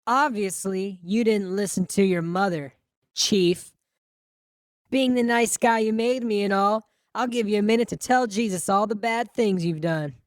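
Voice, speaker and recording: A woman voicing a vengeful, gleefully cruel boy in an audiobook, with a taunting, drawn-out delivery. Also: mid-range voice, no echo, clean studio sound, lightly acted. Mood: menacing taunts. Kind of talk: audiobook character acting.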